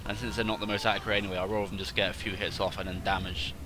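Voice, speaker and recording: Bunged-up guy with a lowish voice talking through a game replay, bit of noise humming behind him. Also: level tone, rapid-fire. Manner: rambling, self-correcting, thinking aloud. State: slightly congested from a cold. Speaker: gaming channel host. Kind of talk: casual game replay commentary.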